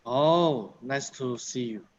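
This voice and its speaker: A man's voice: mid-range voice, darkish tone, slight background noise. Friendly man on a video call. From China. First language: Chinese. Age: middle-aged. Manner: friendly, chatty, halting English with fillers. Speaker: tai chi instructor. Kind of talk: casual chat with online class. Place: martial arts hall. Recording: slightly dull video call audio.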